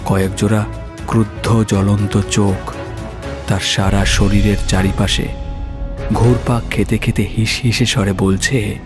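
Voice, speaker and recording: Male horror narrator, lowish voice, suspenseful delivery with dramatic pauses, faint music underneath. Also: flat delivery. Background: soft music.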